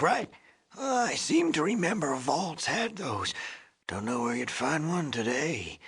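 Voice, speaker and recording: An extremely hoarse, rasping old ghoul from a video game, crotchety and wisecracking. He drawls along slowly in a folksy way, wheezing, with pitch that swings wildly from low grumbles to high cracks. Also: fairly bright tone, exaggerated voice. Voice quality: raspy, gravelly, wheezy with a cough. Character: cantankerous, wisecracking old male ghoul, an irradiated undead survivor. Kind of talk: video game character acting.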